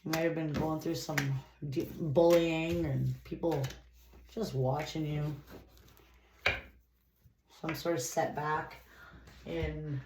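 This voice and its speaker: A woman's voice: sits low, fairly even intonation, takes it slowly, long pauses. Congested woman giving a casual tarot reading. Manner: chatty, rambling, reading cards aloud. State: stuffy nose from a cold. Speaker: tarot reader. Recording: echoey room with clear noise.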